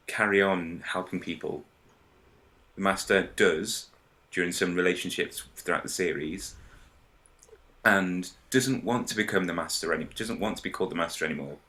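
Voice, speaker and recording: Low-voiced man with a bright edge. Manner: hesitant, halting. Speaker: podcast panellist. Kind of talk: casual discussion, explaining own story ideas.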